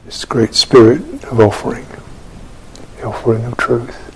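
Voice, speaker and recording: A man giving a contemplative guided meditation as a Buddhist teacher, speaking in measured phrases with long pauses. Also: medium pitch, sing-song, a little hiss or hum, low-quality recording. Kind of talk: guided meditation and dharma talk.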